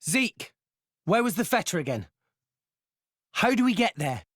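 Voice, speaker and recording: Eager young hero. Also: male voice, high voice, big pitch swings, a little slow, a bit bright, no room sound, studio quality, heavily acted. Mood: earnest. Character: eager young male hero, earnest and blunt.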